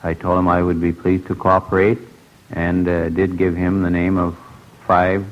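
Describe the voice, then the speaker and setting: Very low, monotone, halting American man. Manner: very halting, hesitant, many uhs. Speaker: hat company representative. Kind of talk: prepared witness statement. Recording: muffled old radio recording.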